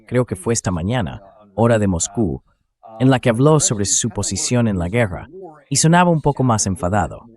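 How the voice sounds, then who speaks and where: A man's voice: on the low side, darkish tone. A man hosting a political discussion in a synthetic voice-over, talking in a conversational, explanatory way. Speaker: interview host.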